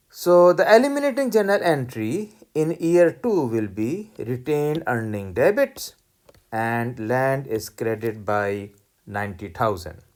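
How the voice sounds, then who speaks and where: Lecturer, mid-pitched voice, teaching worked examples in a deliberate, step-by-step didactic manner. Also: darkish tone. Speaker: accounting lecturer.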